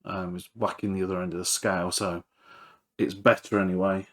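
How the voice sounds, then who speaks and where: A man's voice: sits low, little pitch movement, a little fast. A man giving casual, hands-on repair commentary as he works, hesitant and thinking aloud. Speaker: electronics repairer presenting a video.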